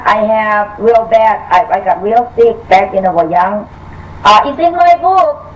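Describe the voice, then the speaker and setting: An older woman from Thailand with a Thai accent, answering interview questions in halting, broken English full of fillers. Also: on the high side, recorded from a distance, low-quality recording. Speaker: author guest.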